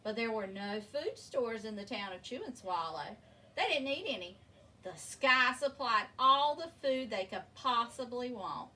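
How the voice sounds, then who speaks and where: High, lively woman reading a children's story. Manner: expressive, deliberate, drawn-out emphasis. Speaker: storybook reader. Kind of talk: read-aloud children's story narration. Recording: distant mic with faint background noise.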